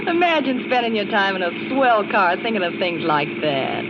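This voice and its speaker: A woman's voice: a little high, lively intonation, measured pace. An actress playing a brash, slangy young woman hitchhiker in 1940s radio drama, sassy and blunt. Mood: breezy, sassy. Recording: noisy muffled old radio recording, vehicle noise.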